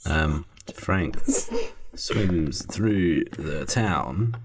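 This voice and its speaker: A man's voice: rumbling low, lively intonation, drawn-out words, a crowd in the background. A man chatting casually and jokily as a comedy podcast host, rambling as he makes up a story. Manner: jokey, rambling, giggly. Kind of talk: casual improvised chat while drafting a radio play.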